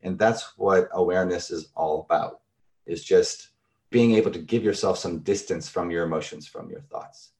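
A man's voice: low voice, normal speed, a little mellow. A middle-aged man, a therapist, explaining concepts in a thoughtful, conversational way with everyday examples.

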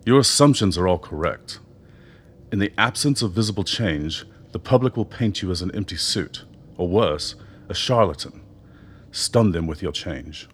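An actor in a radio drama playing an older doctor, a persuasive political mentor who speaks in measured, eloquent phrases. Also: male voice, low-pitched, animated. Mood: commanding, urging. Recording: noticeable background noise.

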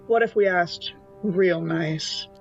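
A woman's voice: on the low side, slow pace. A woman playing a tabletop role-playing game, chatting casually and playfully with the group. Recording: dull lo-fi sound, music behind.

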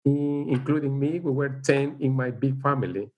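A middle-aged man from El Salvador with a Salvadoran Spanish accent, speaking English as a second language in a casual interview, halting and unhurried, with frequent fillers. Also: mid-range voice, phone-quality sound. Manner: unhurried, halting, with frequent "you know" and light laughs. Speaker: interviewee, teacher. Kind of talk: casual life-story interview.

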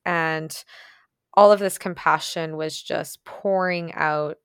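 A woman's voice: sits low, fairly even intonation, takes it slowly, fairly bright tone, smooth, no echo, clean studio sound. A woman from Ukraine who grew up speaking Ukrainian and Russian, telling a personal story in a casual, reflective and earnest monologue. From Ukraine, later moved to Canada. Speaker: vlogger sharing personal experiences.

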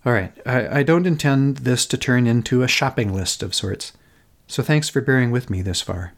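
A middle-aged man, narrating a personal essay as a podcast host in an earnest, contemplative manner with deliberate, short phrases. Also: medium pitch. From Minnesota, United States.

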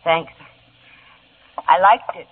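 A woman's voice: lowish voice, slow, a little hiss or hum. A young actress playing a shy, flustered woman who stammers and hesitates, in old-time radio acting. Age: young adult. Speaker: dinner guest. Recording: tinny old radio broadcast.

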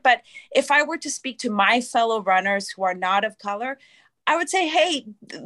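A woman's voice: fairly high pitch, a bit bright. A woman from Canada speaking thoughtfully as a panel guest, often restarting words. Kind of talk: casual panel discussion.

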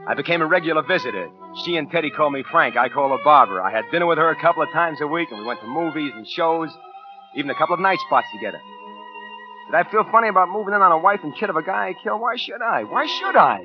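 A man's voice: fairly high pitch, expressive, racing, natural voice. An actor in a 1940s radio crime drama playing a cold, self-justifying American killer, brooding as he narrates and clipped when he talks. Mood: defiant. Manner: brooding first-person narration with hesitant, clipped dialogue. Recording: muffled 1940s radio broadcast, faint music.

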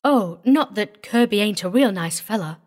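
A woman's voice: mid-range voice, expressive, normal speed, natural voice. A woman voicing a simple, devoted young mountain wife in a storybook, earnest and prim, with a rural Southern US accent. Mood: apologetic, earnest.

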